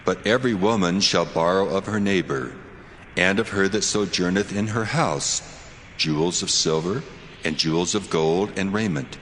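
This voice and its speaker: An actor playing God as a solemn, commanding deity who declaims deliberately in a scripture audio drama. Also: male voice, low voice, a bit bright, a little hiss or hum. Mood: commanding, prophetic. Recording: long cavernous reverb under prominent music. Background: music.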